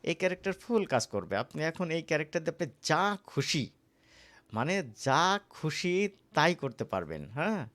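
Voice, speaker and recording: A man's voice: on the high side, big pitch swings, normal speed, fairly bright tone. Bangladeshi man teaching a casual tutorial. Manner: conversational, repeatedly checks with listeners. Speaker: animation course instructor. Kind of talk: casual screen-recorded software tutorial.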